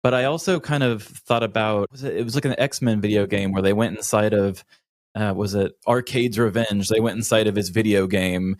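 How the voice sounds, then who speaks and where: A man's voice: fairly low pitch, steady tone, moderate pace, a little mellow, no room sound, clean studio sound. A man co-hosting a podcast in a casual, conversational style. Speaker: podcast co-host. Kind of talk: casual chat.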